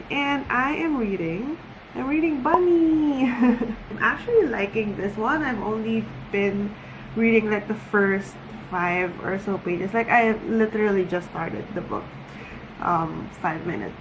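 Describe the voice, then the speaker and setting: A woman's voice: medium pitch, dull audio, background noise. A woman talking casually and giggly to viewers in a rambling, conversational video diary, recorded indoors at home. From Philippines. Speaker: book vlogger.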